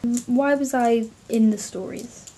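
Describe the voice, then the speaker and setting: A woman's voice: a little high, fairly even intonation, measured pace, mellow, slight background noise. A young woman interviewing a relative about bedtime stories, encouraging and laughing often. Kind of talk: casual family interview.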